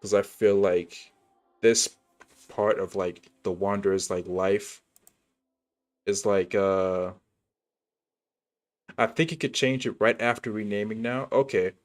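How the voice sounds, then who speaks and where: A man's voice: fairly low pitch, fairly even intonation, slow pace, long pauses. A young man streaming a game, chatting casually in a rambling, laid-back way. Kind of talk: casual gameplay commentary. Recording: stream with faint game music.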